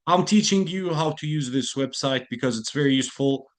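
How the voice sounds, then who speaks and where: A man's voice: medium pitch, brisk. Patient male English teacher on a call. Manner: patient, repeats key phrases, explains idioms. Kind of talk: online lesson, explaining slowly. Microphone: computer mic on a video call, camera off.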